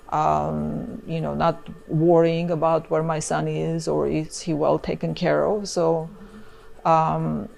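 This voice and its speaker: Middle-aged Armenian-accented woman, gravelly and fairly low, chatty interview guest. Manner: many fillers, chatty and warm about family. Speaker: immigration lawyer, guest. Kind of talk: casual interview chat.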